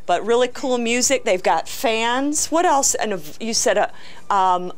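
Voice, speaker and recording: A woman hosting an on-location talk show, chatty and enthusiastic, with a loud voice, touring a tanning salon indoors. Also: a little high, expressive, measured pace. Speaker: host. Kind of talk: casual on-location interview.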